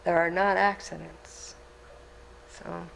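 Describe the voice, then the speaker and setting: A woman working as a Reiki practitioner, calmly explaining while guiding a healing session in an indoor room. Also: on the low side, slow pace, gravelly, slight background noise. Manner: calm, explanatory, gently instructive. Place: indoor treatment room with fan. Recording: echoey room, mic at a distance.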